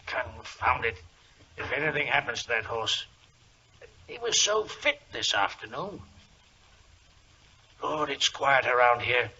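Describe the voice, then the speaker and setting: An actor playing an agitated, emphatic British gentleman colonel and racehorse owner in a 1940s radio drama. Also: medium pitch, exaggerated voice. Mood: worried, muttering. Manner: blustery, emphatic. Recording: dull, noisy vintage radio recording.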